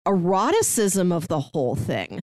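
A woman's voice: lowish voice, sing-song, moderate pace, darkish tone, dry recording. Woman podcaster in casual film chat, dry sound. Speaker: film review podcast co-host.

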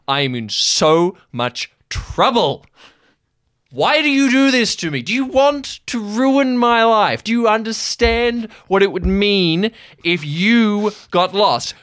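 A man's voice: sits high, fairly bright tone, voice pushed up, full character voice. A man playing a horrified, exasperated man afraid of trouble, frantic and pleading in an improvised audio drama. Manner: frantic, pleading, rhetorical questions. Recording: music behind the voice.

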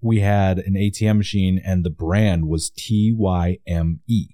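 A man's voice: low voice, steady tone, a little slow, mellow, no room sound, clean studio sound. A man from Wisconsin with a Wisconsin accent, hosting a casual interview and telling stories in an easygoing way. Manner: easygoing storyteller. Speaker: podcast host.

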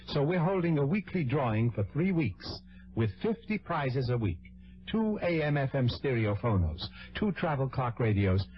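A man reading a scripted promotional announcement as a radio announcer. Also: mid-range voice. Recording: dull vintage radio broadcast.

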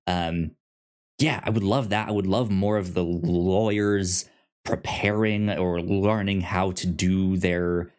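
A man's voice: deep voice, steady tone, slow, a little mellow. A man co-hosting a podcast, casually discussing a TV series and often stammering over repeated syllables. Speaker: podcast co-host.

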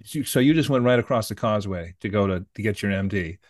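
A male podcast host asks his guest questions in a lowish voice, recorded dry and close. He is curious and engaged, often restarting and repeating words mid-sentence. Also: animated, fast, no room sound. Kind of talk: interview questions.

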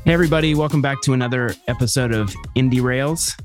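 A man's voice: medium pitch, fast talker, soft background music. A man hosting a podcast, delivering introductions to the show and its guest. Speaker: podcast host.

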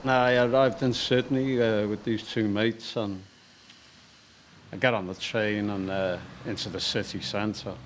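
Older Liverpool man, low-pitched, telling his story with halting fillers; light hiss behind. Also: steady tone. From England. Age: 60s. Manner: hesitant, with many fillers and restarts. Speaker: stone carver. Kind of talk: relaxed interview storytelling.

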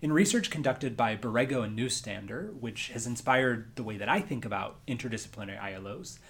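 Male university lecturer, calm scripted narration, mid-range pitch. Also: a little fast.

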